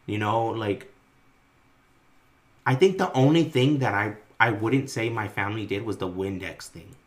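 A man co-hosting a casual podcast, telling animated family stories with plenty of swearing. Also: on the low side, measured pace. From Greek-American family. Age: adult. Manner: animated, profane storytelling, swings between loud and quiet. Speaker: podcast co-host. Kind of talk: casual chat.

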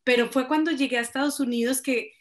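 A woman's voice: high-pitched, fairly even intonation, fast talker. A Colombian woman, a singer-songwriter, talking reflectively as a radio guest and laughing often. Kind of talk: radio interview.

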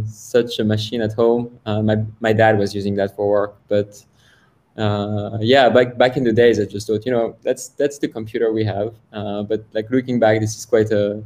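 A man's voice: on the low side, a little mellow. A man in his thirties from France, a guest on a video call, telling his story in a casual, hesitant way with many fillers and restarts. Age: 30s. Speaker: guest, software founder. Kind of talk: casual interview talk.

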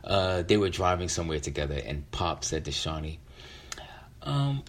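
Low-voiced middle-aged man telling a story. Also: sing-song, moderate pace. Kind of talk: casual personal storytelling.

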